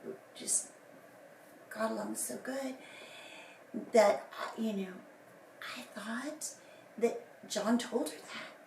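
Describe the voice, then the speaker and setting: Hoarse, breathy woman, slow and rambling, recorded far off in a roomy space. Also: a little high, a little mellow, slight background noise. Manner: rambling, many fillers and restarts. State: recovering from lost voice, hoarse and whispery. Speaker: person giving a personal account. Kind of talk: casual personal statement to camera. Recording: echoey room from a distance, faint ambience.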